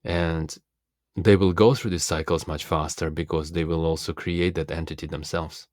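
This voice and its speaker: A man whose first language is Russian speaks in a very low voice with little melodic movement. He delivers a philosophical self-help monologue, earnest and deliberate. The sound is dry and close, free of room reflections. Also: fairly even intonation, a little mellow, no echo. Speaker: self-development coach and speaker.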